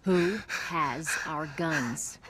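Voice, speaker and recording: A low, level female voice with an American accent. She is a hardened smuggler in a naturalistic game drama, blunt and coolly threatening, with clear noise behind her. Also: drawn-out words. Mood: cold menace. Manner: blunt, commanding, controlled menace. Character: tough, ruthless middle-aged female smuggler, cool and threatening. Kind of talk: naturalistic video game acting.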